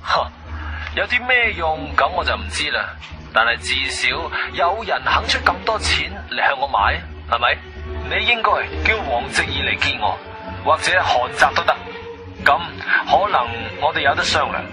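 A defiant, shrewd Cantonese adventurer in a radio play. His fairly high voice swings expressively between wry and firm, with music clearly heard behind it. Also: sing-song, normal speed. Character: shrewd, defiant male adventurer telling his own story. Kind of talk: radio drama acting and narration.

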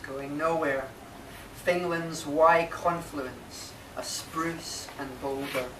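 A man recites poetry very slowly, with pauses between short phrases. He stands well back from the mic in a marquee, so the sound is roomy, with clear background noise. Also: a little high, steady tone. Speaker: poet reading own work. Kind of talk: slow live poetry recital. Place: inside a marquee at a live reading. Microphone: camera mic a few metres away. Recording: distant, echoey, noisy camera recording.

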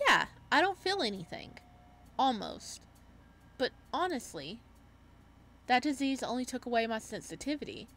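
A woman reads as a bullied male student from a horror visual novel. He is meek and polite, sweetly creepy, with a high-pitched voice for a young man. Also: sing-song, normal speed, long pauses, a bit bright, full character voice. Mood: calm, eerie. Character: bullied male student, sweetly eerie, self-pitying, cannibalistic.

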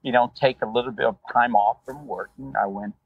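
A man from Brazil with a Brazilian Portuguese accent, a rideshare driver telling his story in a relaxed, rambling way with many fillers. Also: mid-range voice, a little fast, vocal fry, breathy edge, no echo, phone-quality sound. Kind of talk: casual interview storytelling.

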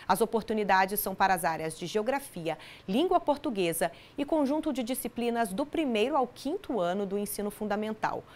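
Woman in her 30s doing tv news, middle pitch, formal clear studio read. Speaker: news presenter. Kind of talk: scripted news narration. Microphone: lapel mic.

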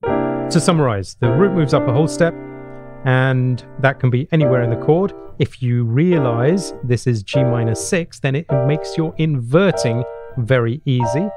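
A man's voice: mid-range voice, moderate pace. A middle-aged man gives an instructional music lesson, explaining and checking understanding with short tag questions, close to a studio mic. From England. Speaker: jazz piano teacher. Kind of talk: instructional lesson talk with piano demonstrations. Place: small home study with bookshelves. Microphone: large studio mic on boom arm, close. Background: piano playing.

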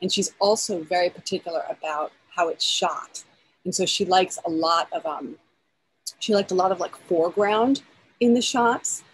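A woman's voice: on the low side, moderate pace, a little hiss or hum, rough recording. A woman in her forties, a film editor and guest, talking eagerly over a video call from outdoors in her backyard, with many restarts and fillers. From United States.

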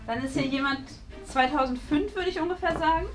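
A woman's voice: sits high, a little fast, soft background music. A young woman with a German accent, chatting casually as she joins in a guessing game in a room. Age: young adult. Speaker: crew member joining a guessing game. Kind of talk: casual chat. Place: medium-sized indoor room.

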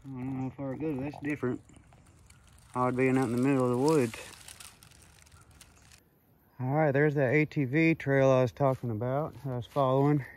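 A man's voice: medium pitch, flat delivery, moderate pace, long pauses, darkish tone. A man out of breath from walking, narrating a casual outdoor vlog as he explores. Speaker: vlogger exploring caves. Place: outdoors in woods and hillsides. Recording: handheld outdoor recording with clear noise.

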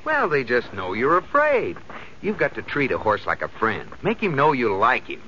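An actor playing a good-natured but exasperated husband in a 1940s radio sitcom, flustered and protesting. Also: male voice, medium pitch, wildly swooping pitch, moderate pace, pushed and bright. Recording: muffled, noisy old radio broadcast recording.

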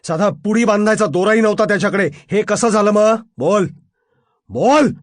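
A man's voice: mid-range voice, quick pace, darkish tone, breathy edge, no room sound, clean studio sound, voice pushed down, close to an everyday voice. A man voicing a furious, commanding police officer in a crime audio drama, menacing, with short repeated orders and questions. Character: furious, commanding male police officer interrogating his subordinates.

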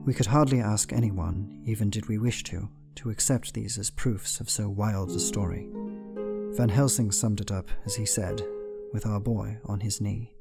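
An actor playing a reflective Victorian husband and father, narrating an audio drama in a measured way. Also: on the low side, steady tone, creaky, over music. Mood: reflective, calm.

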